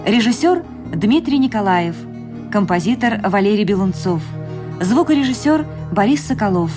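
A woman's voice: mid-range voice, lively intonation, moderate pace. A woman radio announcer reading scripted broadcast credits in a formal announcing style. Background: music under the voice.

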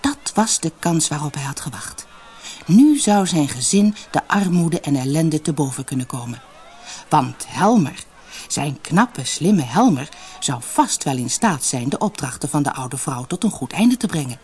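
A storyteller reading a scripted fairy tale for an audiobook, with expressive, dramatic emphasis. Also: medium pitch, measured pace, husky.

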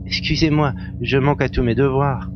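A man's voice: mid-range voice, steady tone, normal speed, over music, lightly acted. A man playing a courteous young doctor aboard a spaceship in an audio drama. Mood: courteous, attentive. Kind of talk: French audio drama acting. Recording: dull lo-fi sound with humming noise.

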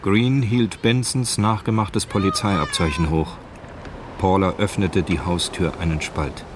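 Male narrator, very low voice, sober, measured, scripted radio drama, faint background ambience. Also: steady tone, background noise.

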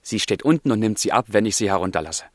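A man's voice: lowish voice, expressive, fast talker, slight background noise, close to an everyday voice. An actor playing a passionate, brooding young man of the 1770s in a literary radio drama, with fervent, declamatory delivery. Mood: wistful, tender. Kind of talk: literary radio drama, dialogue and letter monologue.

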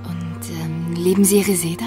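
A woman's voice: fairly low pitch, little pitch movement, moderate pace, loud music behind the voice, lightly acted. An actress playing a sensitive young society lady in a radio play, poised but direct and questioning. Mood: dismayed, pressing. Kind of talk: radio drama acting.

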